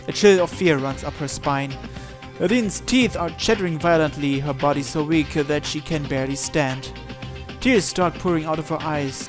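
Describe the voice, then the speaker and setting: A man reading a visual novel aloud as dramatic narration, in a theatrical, suspenseful manner. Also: on the high side. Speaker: visual novel let's player. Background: game music.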